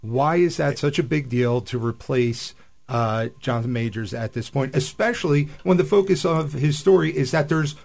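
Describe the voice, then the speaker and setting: A man's voice: mid-range voice, moderate pace, vocal fry, a little mellow. A man speaking as a panelist in a casual, opinionated radio chat. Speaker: podcast panelist and science fiction fan.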